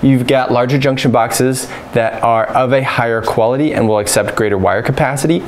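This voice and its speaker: Casting: American man, 30s, mid-pitched, practical electrician explaining a job informally, basement ambience noise behind him. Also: brisk. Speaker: electrician presenting a how-to tutorial. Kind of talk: informal hands-on instruction. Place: unfinished basement with exposed joists. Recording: clearly noisy room ambience.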